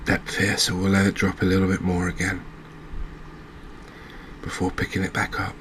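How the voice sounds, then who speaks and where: A man giving quiet, unscripted ASMR-style game commentary, unhurried and thinking aloud with pauses. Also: low-pitched, monotone, a little hiss or hum. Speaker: gaming video host.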